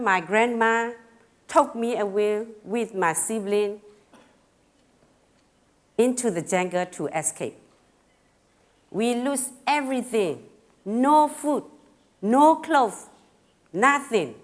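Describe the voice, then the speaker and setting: A middle-aged woman from Burma with a Karen accent, giving a personal talk in simple, halting phrasing with pauses and fillers, a little nervous. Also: fairly high pitch, lively intonation. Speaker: speaker at a talk.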